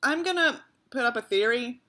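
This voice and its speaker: A woman's voice: a little high, expressive, normal speed, bright, forceful tone. A woman reading a story aloud as a narrator, breaking in with mocking, sarcastic asides and casual swearing. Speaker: audiobook reader and commentator.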